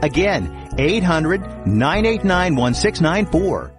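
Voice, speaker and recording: A man announcing a radio ad in an urgent, salesy read, slowing down to repeat a phone number. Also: on the high side, big pitch swings, faint music behind.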